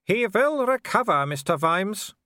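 High-pitched, gloating werewolf villain. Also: male voice, big pitch swings, sharp tone, no echo, studio quality, heavily acted. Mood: gloating taunt. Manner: taunting, drawn-out mock politeness. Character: gloating, mockingly courteous male werewolf villain.

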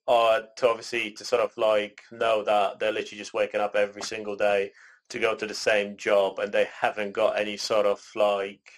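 A man raised in Canada, co-hosting a podcast in relaxed casual chat, rambling with lots of "you know" and "sort of.". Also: on the low side, normal speed. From born in Poland, raised in Canada. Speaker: podcast co-host.

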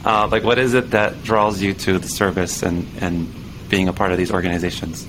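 A man hosting a casual interview, relaxed and hesitant as he asks his questions, with frequent backchannels. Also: sits low, a little fast. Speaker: interview host. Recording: noticeable background noise.

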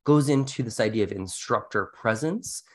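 A man's voice: medium pitch, lively intonation, normal speed. A man co-hosting an educational podcast, talking through teaching advice in an earnest, explanatory, conversational way. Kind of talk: conversational teaching-tips discussion.

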